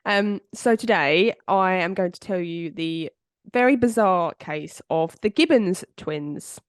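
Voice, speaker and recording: A woman's voice: lowish voice, expressive, slow pace, clear, resonant tone, no room sound, studio quality. Congested woman, chatty podcast host. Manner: chatty, rambling, with frequent fillers. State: congested, bunged-up voice. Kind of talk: casual true-crime storytelling chat.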